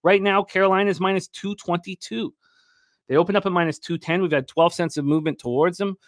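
Higher-pitched man hosting betting talk. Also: steady tone, brisk, dry recording, studio quality. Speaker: sports betting show host. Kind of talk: casual sports betting talk. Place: indoor studio setup at a desk. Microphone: large desk microphone close to the mouth.

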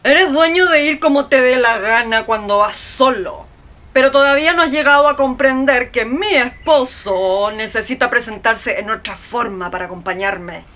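Woman as sharp, high-voiced snobbish wife. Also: moderate pace, lightly acted. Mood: indignant, reproachful. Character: domineering, snobbish middle-aged wife, easily offended. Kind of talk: radio drama acting.

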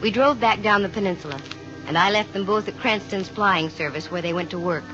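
An actress playing a shrewd, gutsy private investigator with an American accent and dry, brisk delivery in a 1950s radio drama. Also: female voice, mid-range voice, over music, natural voice. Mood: brisk narration. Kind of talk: 1950s radio detective acting. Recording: muffled, noisy 1950 radio recording.

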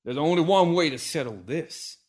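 A man's voice: mid-range voice, normal speed, natural voice. A man voicing a pompous, touchy gunslinger who sneers and speaks haughtily. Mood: sneering.

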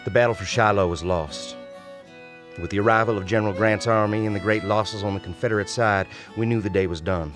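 A man performs a haunted Civil War lieutenant in an old-time radio horror drama. His low voice tells the tale gravely and deliberately, in formal journal language, with music clearly behind him. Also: fairly even intonation, close to an everyday voice. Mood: grim, intense. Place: small radio studio. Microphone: vintage-style studio microphone at close range.

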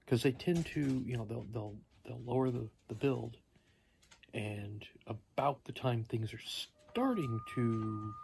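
Tired guy in his 50s rambling slow to the camera, halting, some background noise. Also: a little mellow. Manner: halting, low-key, thinking aloud. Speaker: vlogger. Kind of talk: casual rambling talk to camera. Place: small living room at home, indoors.

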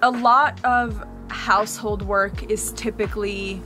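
A woman's voice: medium pitch, fairly even intonation, takes it slowly, over music. A young woman from Bulgaria chatting casually with her husband on a vlog, laughing often and saying "like" a lot, recorded outdoors in a garden. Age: young adult. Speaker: vlogger, co-host. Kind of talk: casual chat.

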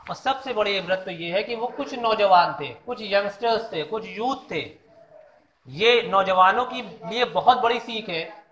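A man's voice: high voice, unhurried, fairly bright tone. A man giving an informal religious talk, explaining a Quran story and asking rhetorical questions. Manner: explanatory, repeats key lessons, asks rhetorical questions. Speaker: Islamic preacher. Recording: echoey, dull lo-fi room sound.

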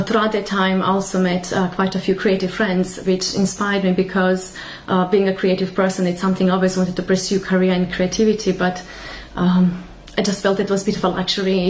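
A woman's voice: mid-range voice, level tone, fairly quick, darkish tone, slight background noise, rough recording. A woman, sharing her faith testimony to a webcam at home, hesitant with many fillers. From Croatia. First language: Croatian. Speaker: church member sharing testimony. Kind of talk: personal testimony to camera. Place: small room at home, indoors.

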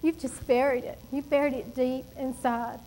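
High-voiced woman preaching with emphasis. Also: moderate pace, a little hiss or hum, low-quality recording. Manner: animated and emphatic, with laughs and asides. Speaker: pastor. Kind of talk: sermon. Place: church stage at a wooden pulpit.

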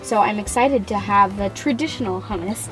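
A woman's voice: mid-range voice, moderate pace, music in the background, poor audio. A young woman co-hosting a casual snack review in a car, earnest and a little hesitant. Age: young adult. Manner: earnest, a bit hesitant, restarts. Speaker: co-host. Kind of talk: casual chat, product review. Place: parked car. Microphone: camera mounted in car.

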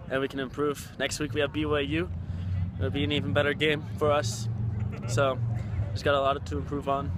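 A man's voice: mid-range voice, noisy background. A young American man chatting casually to camera in a slangy way, recorded on a phone held at arm's length. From Texas, USA. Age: young adult. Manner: slangy, mildly profane. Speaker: vlogger, college rugby player. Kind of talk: casual vlog chat to camera. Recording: background chatter, handheld outdoor and indoor audio.

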